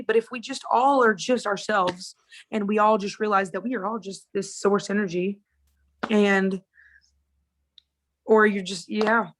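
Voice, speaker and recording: A woman who is a guest on a podcast, talking in a relaxed, rambling and reflective way. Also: mid-range voice. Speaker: podcast guest, social media creator. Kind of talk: casual interview chat.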